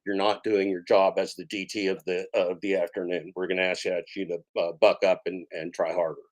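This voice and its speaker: A man with a lowish voice hosts a livestream in a rambling monologue. He talks in a folksy, mock-grandiose way, repeats his phrases for comic effect and fills every pause with "uh". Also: a little mellow. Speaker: livestream host.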